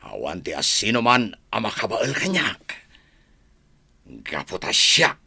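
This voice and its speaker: An actor playing a corrupt, scheming provincial governor in a radio drama, gloating and emphatic, with an Ilocano accent. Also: male voice, lowish voice, sing-song, measured pace, creaky, pushed and bright, husky, no echo, exaggerated voice.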